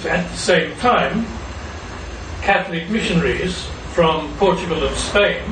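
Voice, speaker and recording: An older man with an Australian accent giving a measured, prepared lecture as guest speaker at a forum. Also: fairly high pitch. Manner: measured, formal delivery. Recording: roomy hall, distant mic, noise.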